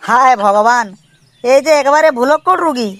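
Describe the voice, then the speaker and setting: Against clear background noise, a performer plays an exasperated male cartoon doctor. He banters and scolds with emphatic exclamations, in the style of a comedy skit. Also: sits high, normal speed, close to an everyday voice. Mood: exasperated, mocking.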